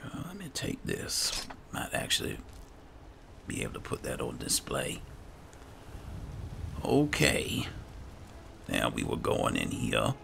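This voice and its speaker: A man's voice: rumbling low, wildly swooping pitch, slow pace, creaky, long pauses, sharp tone, a little hiss or hum. A man giving casual in-character commentary while playing a game, murmuring unhurriedly to himself with game ambience behind him. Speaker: gaming roleplay streamer.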